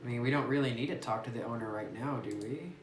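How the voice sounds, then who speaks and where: A woman's voice: a bass voice, fast talker. Chatty woman gamer on a roomy phone mic. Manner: chatty, giggly, muses aloud while playing. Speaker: gaming YouTuber. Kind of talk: casual let's play commentary, reading game text aloud. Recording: roomy phone recording with faint hiss.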